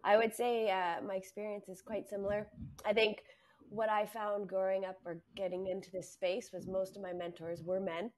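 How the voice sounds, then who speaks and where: A woman's voice: medium pitch, level tone, moderate pace, darkish tone. A woman panelist who is losing her voice, speaking earnestly on a video call. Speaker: head of analytics, panelist.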